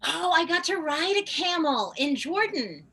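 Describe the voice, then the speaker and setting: Chatty woman hosting a zoom hangout, high bright voice, exclaims a lot. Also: big pitch swings, a little slow, low-quality recording. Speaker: host of an online tarot gathering. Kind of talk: casual group chat. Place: video call.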